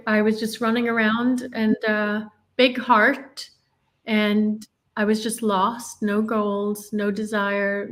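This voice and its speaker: A woman from Ireland, telling her life story in an earnest, reflective way as an interview guest. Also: medium pitch. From Northern Ireland.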